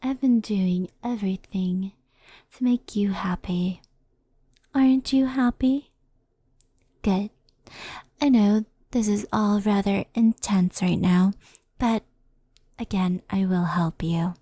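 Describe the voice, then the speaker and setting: A woman playing a gentle, mischievous fox spirit in an ASMR roleplay, speaking softly and intimately, often in a whisper, like a reassuring mentor. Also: medium pitch, takes it slowly, pauses often, no room sound.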